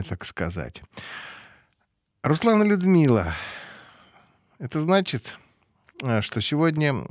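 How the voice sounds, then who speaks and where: A man's voice: fairly low pitch, dry recording, studio quality. A man hosting a radio show, announcing a quiz winner and describing the prize in a chatty way, with frequent hesitation fillers. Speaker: radio host.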